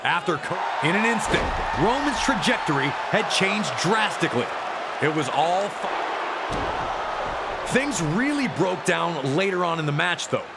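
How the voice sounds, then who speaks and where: Over a very loud, roaring arena crowd, a man delivers dramatic wrestling narration in a high-pitched voice. He tells the action emphatically, like a storyteller in a sports documentary. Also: big pitch swings, a little slow, creaky, very noisy.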